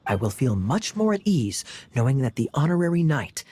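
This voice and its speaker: A man voicing a smooth, wry knight captain with courtly manners and soft chuckles, for a game. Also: mid-range voice, sing-song, normal speed. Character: suave, scheming young knight captain. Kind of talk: game voice acting.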